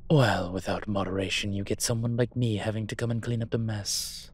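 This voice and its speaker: A man playing a suave incubus demon professor in a roleplay audio drama, measured, wry and teasing. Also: fairly low pitch, fairly even intonation, husky.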